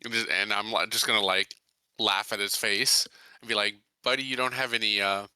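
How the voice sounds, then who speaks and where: Very bright, forceful low-voiced man. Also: steady tone, fairly quick, clean tone, dry recording. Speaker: tabletop game player. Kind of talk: casual improvised roleplay chat.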